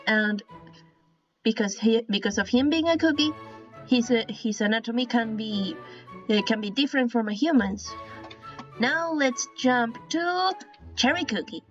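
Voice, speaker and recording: Hesitant woman with a Spanish accent. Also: fairly high pitch. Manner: hesitant, many restarts and apologies. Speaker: artist explaining a drawing style. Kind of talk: casual drawing tutorial. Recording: dull lo-fi sound with faint music.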